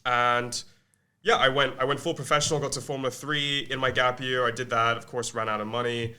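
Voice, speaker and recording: A man's voice: mid-range voice, level tone, brisk, pushed and bright. A man in his twenties with an English accent, chatting as a podcast guest about his career, self-deprecating and full of ums and restarts. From Malaysia. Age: 20s. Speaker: guest, agency co-founder. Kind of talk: casual podcast interview.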